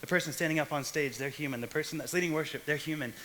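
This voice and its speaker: A man's voice: on the high side, racing, sharp tone, a little hiss or hum. A young man from Texas giving a casual, joking sermon to a young adult church group. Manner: chatty, jokey asides. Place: church hall stage.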